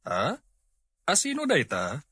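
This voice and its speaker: Man acting husky, brooding older brother. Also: fairly high pitch, huge pitch swings, takes it slowly, bright, forceful tone, no echo, heavily acted. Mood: businesslike. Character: troubled, brooding older brother and farm boss. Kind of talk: amateur Filipino audio drama acting.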